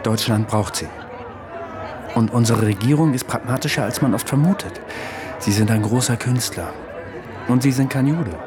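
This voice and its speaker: An actor playing a flattering, insistent government emissary of the Nazi regime in a German radio drama, polite and smoothly persuasive. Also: male voice, medium pitch, normal speed, long pauses, crowd noise, natural voice. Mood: insinuating.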